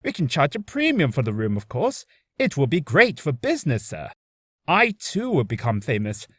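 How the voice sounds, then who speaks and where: A man's voice: on the high side, huge pitch swings, normal speed, breathy edge, no echo, studio quality, heavily acted. Man playing a fawning, self-important bellboy, swooping theatrically. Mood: eager, proud. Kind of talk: character voice audition reel.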